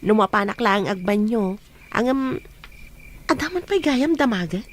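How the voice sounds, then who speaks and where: A woman's voice: medium pitch, expressive, measured pace, natural voice. An actress playing a friendly, curious young woman in a radio drama, with a Filipino accent. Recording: noticeable background noise.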